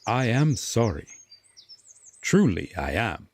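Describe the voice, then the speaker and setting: A brooding Fae lord, acted by a man in a roleplay audio drama. His voice sits on the low side and swings expressively through very slow, formal phrases. He is guarded and courteous, with reluctant kindness and long dramatic pauses. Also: big pitch swings, exaggerated voice. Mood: apologetic, courteous.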